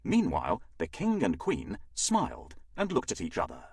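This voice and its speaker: A man reading a scripted children's fairytale as an expressive, dramatic storyteller. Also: medium pitch, normal speed, creaky, slightly rough, dry recording.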